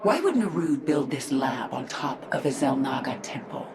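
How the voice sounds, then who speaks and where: An actress playing a cold, vengeful warrior queen of an alien swarm in a video game, measured and commanding, with an echoing effect. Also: a bass voice, expressive, noisy background. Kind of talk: video game character acting. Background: music.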